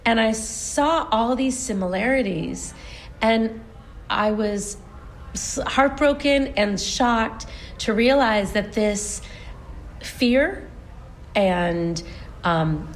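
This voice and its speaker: A woman's voice: mid-range voice, a little hiss or hum, rough recording. A woman in her forties from the United States, a stage performer being interviewed, telling her family story earnestly with lots of restarts. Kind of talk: casual interview chat.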